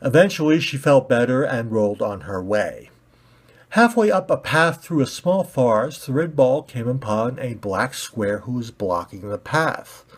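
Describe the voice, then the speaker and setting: A man reading his own children's-style story in an expressive storytelling manner, as an amateur author. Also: mid-range voice, measured pace, slightly rough.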